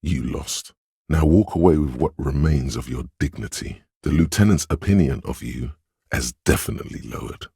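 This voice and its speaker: A man voicing a sly, manipulative inner voice in a video game, husky and breathy, speaking in a measured, coaxing, insinuating way. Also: a bass voice, warm tone, no echo, studio quality, exaggerated voice. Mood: disapproving. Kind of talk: scripted video game voice acting.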